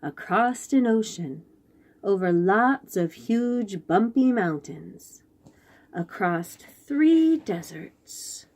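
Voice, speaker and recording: Animated woman reading aloud to young children. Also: medium pitch. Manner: expressive, pauses to point out pictures and ask questions. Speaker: children's read-aloud host.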